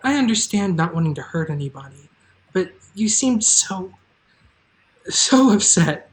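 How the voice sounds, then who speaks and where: A woman's voice: fairly low pitch, expressive, unhurried, poor audio. A woman playing a kind, practical young farm girl in a live fantasy table read, earnest and reassuring.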